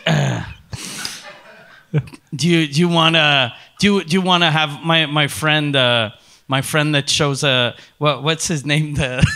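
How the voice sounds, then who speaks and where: A clueless, unprepared podcast host with a thick Quebec French accent, as a man plays him. He stumbles through broken English in a bright, sharp, slightly high voice. It is heard on a handheld mic on a comedy club stage. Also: full character voice.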